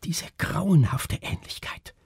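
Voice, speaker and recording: A man's voice: slow, no room sound, studio quality, natural voice. A man playing a horrified, faint and agitated doctor, groaning and whispering urgently in a German literary radio drama.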